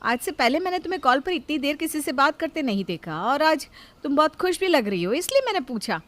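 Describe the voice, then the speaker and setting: A woman voicing a caring, anxious elder sister-in-law in a moral story, gentle and pleading. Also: high-pitched, big pitch swings, fairly quick, pitched above the performer's own voice. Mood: curious. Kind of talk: Hindi moral story character dialogue.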